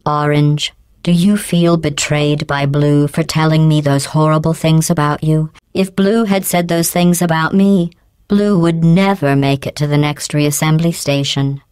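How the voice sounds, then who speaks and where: A cold, sarcastic female AI in a video game, calmly handing out insults in a deadpan, condescending tone. Her voice sits low for a woman and sounds smooth and resonant, with a synthetic computer sheen. The recording is dry and close, with no room sound at all. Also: a little slow, no echo. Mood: deadpan scornful. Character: cold sarcastic female AI running test chambers.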